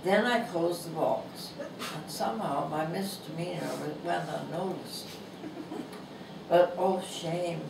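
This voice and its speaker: A woman in her nineties with a thin voice, reading her own humorous essay aloud in a bookstore in a slow, gentle and amused way. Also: on the low side, creaky. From Canada. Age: 90s. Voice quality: thin, not a strong voice. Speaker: author reading her book. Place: bookstore, indoors. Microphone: lapel mic, filmed from across the room. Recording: echoey shop sound from a distance, background noise.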